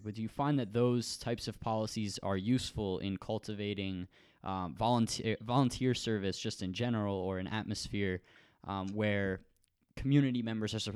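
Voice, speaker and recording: Young male podcast host, smooth voice a bit on the low side, casual, curious questions. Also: a little mellow. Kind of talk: conversational interviewing.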